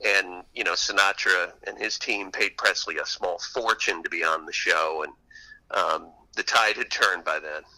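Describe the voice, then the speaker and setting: Guy author on a radio interview, really bright punchy voice, kinda low, chatty storyteller. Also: steady tone, normal speed, low-quality recording.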